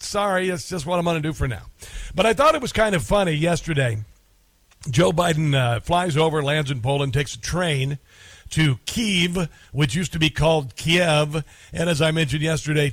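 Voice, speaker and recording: A man's voice: a little high, fairly bright tone, no room sound. A man hosting a talk radio show, rambling and sarcastic with lots of fillers. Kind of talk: casual talk radio monologue.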